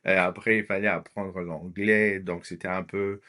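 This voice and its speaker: A man from Congo with a Congolese French accent, his first language Lingala, tells his story as a podcast guest. His voice sits a bit low, and he speaks haltingly, with frequent fillers and English words dropped in. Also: level tone, pushed and bright. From Democratic Republic of the Congo. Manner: hesitant, many fillers, drops in English words. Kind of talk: casual interview talk.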